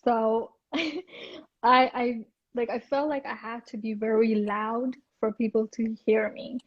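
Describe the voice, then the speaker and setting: A woman with a soft voice, talking hesitantly with many restarts as a guest in a casual interview. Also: on the high side, steady tone, slow. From India. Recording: dull lo-fi audio.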